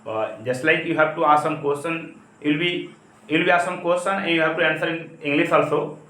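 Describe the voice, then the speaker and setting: A man from India with an Indian accent and Hindi as his first language, giving an explanatory English lesson as a teacher, with halting, repetitive phrasing. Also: on the high side, bright, forceful tone. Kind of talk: spoken lesson, explanatory talk. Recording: echoey, roomy sound.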